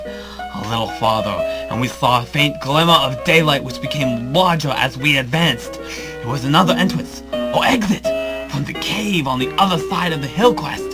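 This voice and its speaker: A mid-pitched adventurer voiced by a man tells a scripted tale with tense, dramatic delivery. Music plays clearly beneath the narration. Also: lightly acted. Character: tense adventurer telling his tiger-hunting tale.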